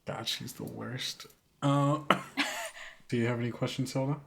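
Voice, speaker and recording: A man running a tabletop role-playing game as game master, casual and measured, pausing between phrases as he narrates. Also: mid-range voice, fairly even intonation, creaky.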